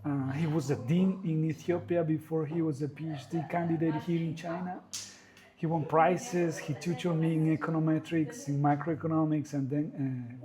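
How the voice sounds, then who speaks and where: A man hosting a podcast, asking questions in a gentle, reflective way with some hesitation. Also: a little high, low-quality recording. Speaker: podcast host. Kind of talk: podcast interview.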